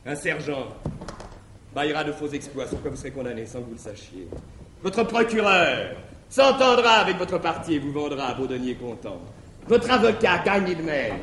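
An actor playing a wily, cajoling manservant, swinging between confiding murmurs and exclamations in a theatrical stage farce. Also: male voice, fairly high pitch, lively intonation, fairly quick, natural voice. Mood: dramatic warning. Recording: slightly noisy 1961 theatre recording.

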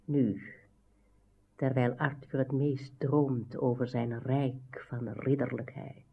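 An actress playing a scheming, imperious sorceress aunt in a radio drama, commanding and deliberate in manner. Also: female voice, very deep voice, fairly even intonation, creaky, breathy edge, full character voice. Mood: coldly scheming. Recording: muffled narrowband old radio recording.

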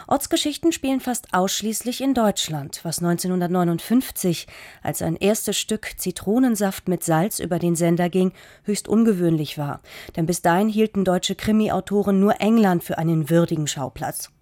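A woman's voice: fairly low pitch, normal speed, mellow, dry recording. A woman radio presenter reading a scripted introduction with polished composure in a studio.

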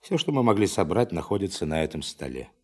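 An actor playing an authoritative official giving a formal, emphatic briefing to scientists in a radio play. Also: male voice, low-pitched, big pitch swings, breathy edge, heavily acted. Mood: grave. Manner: formal, emphatic, commanding, dramatic pauses. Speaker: presenter of a demonstration.

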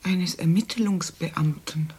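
An actress playing a shaken, guarded middle-aged woman in a radio crime drama, halting and half-whispering. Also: fairly low pitch, a little mellow, husky, full character voice. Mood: stunned, anxious. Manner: halting, faltering.

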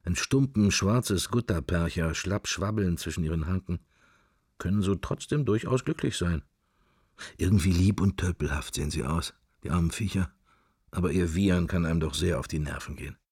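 Deep, dry-sounding introspective man. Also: moderate pace, a little mellow, husky, dry recording. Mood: idle musing. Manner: murmured, fragmentary, introspective. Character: musing middle-aged man's wandering inner thoughts, wry, melancholy. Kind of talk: radio drama interior monologue.

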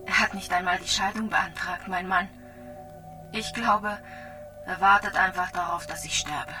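An actress playing a gravely ill young mother in a radio drama, resigned, wounded and plainly confiding. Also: fairly low pitch, steady tone, measured pace, natural voice. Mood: hurt, bitter. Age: young adult. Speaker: hospital patient. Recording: distant from the mic, music behind.

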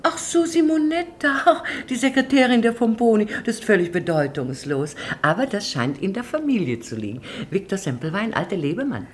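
A woman's voice: medium pitch, sing-song, fairly quick, hardly any pauses, slight background noise, natural voice. An actress playing a snobbish, overbearing middle-aged society lady in a radio play, gushing and imperious. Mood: affronted, haughty. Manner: theatrical, imperious, effusive. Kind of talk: German radio play acting.

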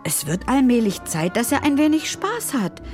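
A woman's voice: fairly high pitch, very animated, moderate pace, darkish tone, faint music behind, pitched above the performer's own voice. A woman voicing a polite, plain-spoken young wizard boy in an audiobook, questioning and concerned. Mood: baffled. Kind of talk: audiobook character voice.

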